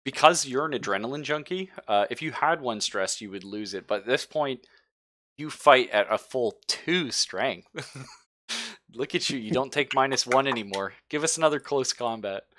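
Male game master, bright forceful voice with dry close sound, slangy and joking. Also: mid-range voice, lively intonation, no echo. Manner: jokey, slangy, quick to laugh. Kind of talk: casual tabletop game narration.

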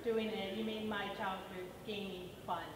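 A woman's voice: medium pitch, flat delivery, normal speed, noisy background. A woman in the audience, nervous and stumbling as she thanks the panel and asks a question. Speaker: fan asking a question. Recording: echoey hall with crowd.

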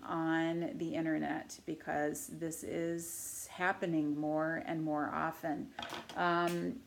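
A woman in her forties with a cold, talking to camera from her living room couch in an earnest, measured way with frequent filler pauses. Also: on the low side. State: deeper and huskier from a cold. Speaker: artist and channel host. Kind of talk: casual to-camera vlog.

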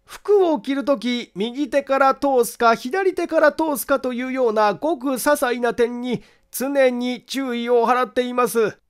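A man's voice: very high voice, smooth. A man voicing a meticulous amateur detective in an audiobook, methodically and formally laying out his reasoning. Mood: methodical, confident. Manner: deliberate, formal, methodical explaining. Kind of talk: acted audiobook monologue.

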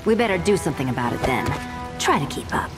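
A confident cat burglar woman talks in cool, teasing game dialogue. Her low voice moves widely in pitch. Loud music plays behind. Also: big pitch swings, fast talker. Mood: sly, assured.

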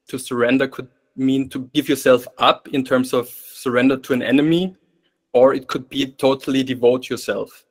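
Austrian man, 30s, German native; hesitant, speaks over a video call. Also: mid-range voice. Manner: hesitant, with small laughs and restarts. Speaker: student asking a question. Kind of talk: casual question on a video call. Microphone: video call webcam.

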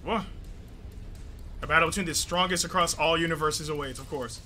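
A young man hosting a gaming video, reacting to a trailer with hype, slang and yelling, speaking on a headset in a small home gaming room. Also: fairly high pitch, a little fast, a little hiss or hum. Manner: hyped, yelling and laughing, slang-heavy. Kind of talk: excited gameplay reaction.

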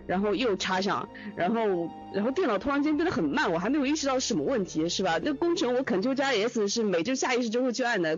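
A man, an audio drama sound editor, chatting casually in an interview and telling a rambling, animated story. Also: sits high. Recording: dull lo-fi voice chat with music.

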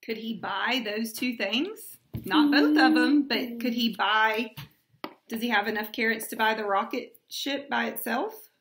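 High-voiced woman coaxing with simple questions. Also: a little slow, a bit bright, slight background noise. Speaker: mother guiding a children's read-aloud. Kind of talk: casual storybook discussion with children. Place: small room at home.

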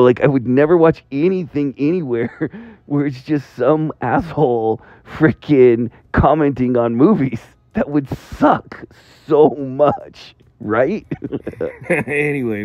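A man leaving a rambling, excitable voicemail about movies, laughing between phrases. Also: medium pitch, dry recording, dull audio. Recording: phone voicemail with faint music behind.

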